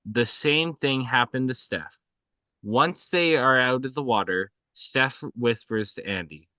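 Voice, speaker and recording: A man reading out screenplay action at a table read in a halting way, often stumbling and correcting himself. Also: medium pitch, bright, forceful tone, no echo. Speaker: reader of stage directions. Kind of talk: scripted narration at a table read.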